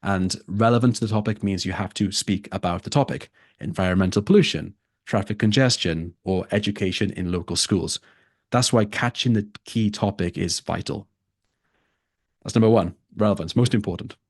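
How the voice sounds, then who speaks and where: A man's voice: fairly low pitch, normal speed, no echo, studio quality. A man who tutors for a test, teaching a live online lesson and repeating his key points for emphasis.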